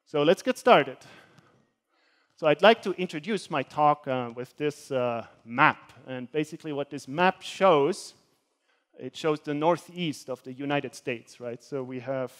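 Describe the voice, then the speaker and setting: A young man from Switzerland gives a guest lecture in a university hall. His voice sits slightly high, and he fills his pauses with hesitant "uh"s as he walks about the stage. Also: fairly bright tone. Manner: hesitant, many filler sounds; gestures while speaking, walks around the stage. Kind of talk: academic talk with slides.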